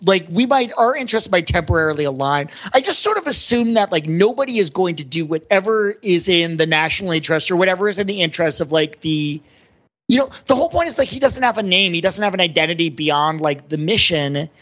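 A man co-hosting a podcast, discussing film casually and slipping into animated retellings. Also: high voice, fast talker, highs cut off. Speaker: podcast host.

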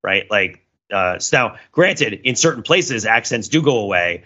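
A man's voice: lowish voice, animated, measured pace, bright, forceful tone, highs cut off. A middle-aged man co-hosting a podcast, talking through ideas in a casual, rambling, analytical way and often checking in with "right?". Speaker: podcast co-host.

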